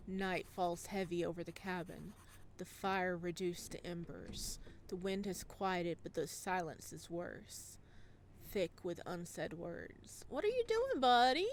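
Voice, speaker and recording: Slow-paced woman reading a story game. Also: fairly low pitch, fairly even intonation, a little hiss or hum. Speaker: gaming video host. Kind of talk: playthrough, reading visual novel narration aloud with reactions.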